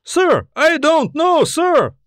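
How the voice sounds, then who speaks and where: A nervous young space-navy recruit, acted by a male narrator. His voice is pushed high and sounds flustered and timid, yet insistent, as he answers a superior in a science fiction story. The sound is dry. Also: sing-song, takes it slowly, fairly bright tone, no room sound, heavily acted.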